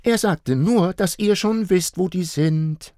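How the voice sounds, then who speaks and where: A man reading a storybook, voicing an eager little girl who explains things in a high, chirpy voice. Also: slow, mellow, no echo, studio quality.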